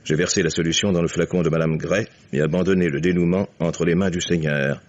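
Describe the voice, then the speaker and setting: A shrewd older gentleman in a 1950s radio mystery, with a very deep voice. He speaks courteously and deliberately, with suave irony and quiet command, on a dull vintage recording. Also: steady tone. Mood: grave, measured.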